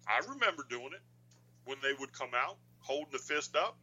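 A man with a deep voice that still carries a sharp, bright edge. He co-hosts a casual chat and tells stories in a wry, joking way. He sounds middle-aged and at ease. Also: sing-song, a little fast, muffled recording, a little hiss or hum. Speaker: podcast co-host. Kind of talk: casual chat about wrestling.

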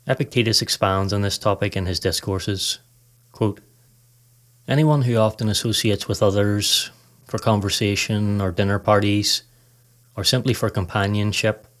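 A man narrating a scripted guided meditation in a calm, measured, instructional way. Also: lowish voice. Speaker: podcast host, meditation guide.

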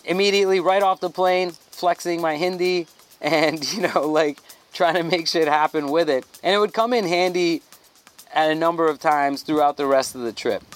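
Easygoing storytelling man, slightly high. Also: normal speed, soft background music. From Thailand. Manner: animated, loose, with fillers. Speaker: podcast guest. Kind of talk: casual storytelling.

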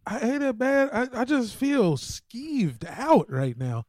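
A man's voice: extremely high pitch, big pitch swings, slow, a little mellow. An American man co-hosting a podcast with slangy, sweary, joking banter. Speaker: podcast co-host. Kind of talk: casual comedic banter.

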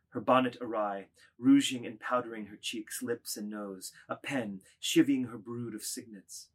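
A man's voice: on the low side, husky. A man narrating literary stage directions from a script as part of a dramatised reading.

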